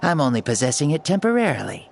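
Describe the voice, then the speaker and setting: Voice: mid-range voice, very animated, fast talker, creaky, a little hiss or hum. An actor voicing an ancient spirit possessing a child's body, playful and theatrical, in video game story dialogue. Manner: playful, theatrical, switching to mock-serious. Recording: background music under the voice.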